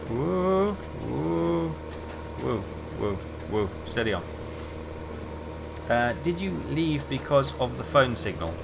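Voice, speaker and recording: Male streamer, relaxed and chatty, mid-range voice, steady hum behind. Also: medium pitch, slow pace, gravelly. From England. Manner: relaxed, chatty, answers chat while playing. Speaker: game streamer. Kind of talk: casual gameplay commentary, chatting with viewers.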